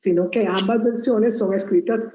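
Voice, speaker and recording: A woman interviewing an author at a book presentation, asking measured, thoughtful questions. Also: medium pitch, fairly even intonation, dark tone, echoey room, lo-fi sound, slight background noise.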